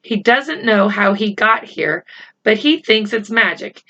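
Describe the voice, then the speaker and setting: A woman voicing an earnest, cautious young boy who explains insistently and haltingly, in a children's storybook reading. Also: mid-range voice, expressive, pushed and bright, muffled recording. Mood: earnest, explaining.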